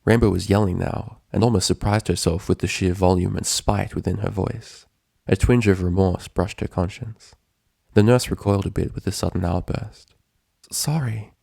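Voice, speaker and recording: A man's voice: low-pitched, fairly even intonation, normal speed, creaky, darkish tone, dry recording, clean studio sound. A man reading a story aloud as its narrator, in scripted prose narration. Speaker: narrator, fan fiction reader.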